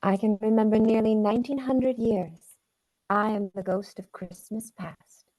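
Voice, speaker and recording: A woman voicing a solemn, gentle, ancient spirit in child form for a live dramatic reading, measured and formal. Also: medium pitch, natural voice, poor audio. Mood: solemn, commanding.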